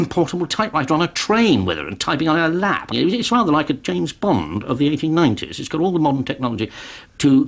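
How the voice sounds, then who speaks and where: A man's voice: medium pitch, breathy edge. A man giving interview answers as a society chairman, hesitant with many ums, growing enthusiastic.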